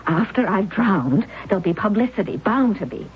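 A woman's voice: medium pitch, sing-song, normal speed, a little hiss or hum, natural voice. An actress playing Susan, a determined young wife planning to fake her death, narrating and speaking with urgent, persuasive intensity. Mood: commanding. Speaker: narrator and lead character. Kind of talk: 1970s radio drama acting. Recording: tinny narrow old radio broadcast.

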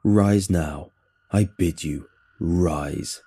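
A man voicing a roaring alien loudspeaker voice. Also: deep voice, very slow, breathy edge, pitched below the performer's own voice, full character voice. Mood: zealous proclamation. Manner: crackling, roaring, eager proclamations. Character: alien machine voice rallying its lost colleagues. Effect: blaring over scattered loudspeakers.

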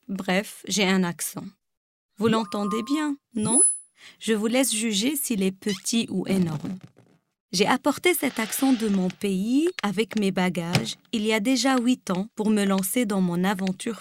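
A woman's voice: medium pitch, a little slow, slight background noise. Lebanese woman with a rolled R. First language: Arabic. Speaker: guest reader. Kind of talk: reading a personal essay aloud.

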